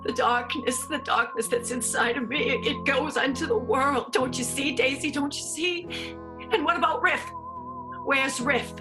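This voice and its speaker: A woman's voice: sits high, fairly even intonation, normal speed, over music, natural voice. A woman acting a tormented, melodramatic stage manager in an improvised radio soap, overwrought and repeating words for drama. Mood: anguished, haunted.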